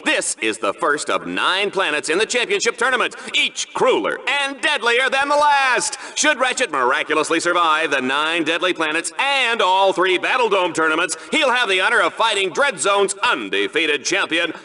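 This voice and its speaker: A man's voice: high voice, big pitch swings, moderate pace, hardly any pauses, pushed and bright, exaggerated voice. A man playing a smarmy, gleeful announcer of a deadly combat sport in a video game, with showy, mock-dramatic delivery. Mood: showy hype. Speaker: sports show host.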